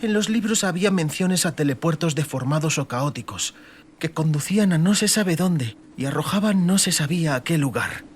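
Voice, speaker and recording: A man voicing an exhausted, determined young girl's inner thoughts in a dramatized audiobook, in a hushed dramatic delivery. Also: a little high, lively intonation, normal speed, slight background noise, natural voice. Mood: anxious recollection.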